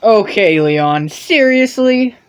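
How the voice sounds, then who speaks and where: A man's voice: high-pitched, expressive, slow, clear, resonant tone. A man giving critical video game commentary in a sarcastic, nitpicking manner.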